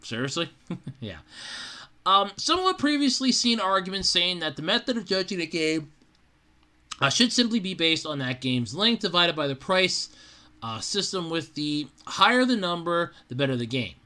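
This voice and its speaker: A podcast host reading out an article with sarcastic, animated delivery and casual, profane asides. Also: mid-range voice, moderate pace.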